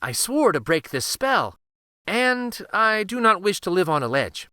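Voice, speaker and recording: Storybook heroine read by a man: young, earnest, brave, bright tone with lively rises. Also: high-pitched, unhurried, clear, resonant tone, no room sound, studio quality, pitched above the performer's own voice, full character voice. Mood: earnest appeal.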